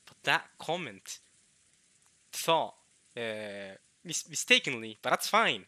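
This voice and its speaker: A young man from Norway, chatting casually to viewers in a halting way, restarting words often with chuckling self-deprecation. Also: mid-range voice, sing-song, bright, forceful tone, no echo. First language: Norwegian. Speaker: visual novel let's player.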